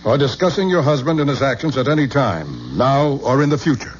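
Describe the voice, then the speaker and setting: A man's voice: mid-range voice, very animated, brisk, loud music behind the voice, close to an everyday voice. An actor playing a stiff, formal male museum official in a 1970s radio drama, haughty and icy. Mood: cold. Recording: dull old radio broadcast with faint hiss.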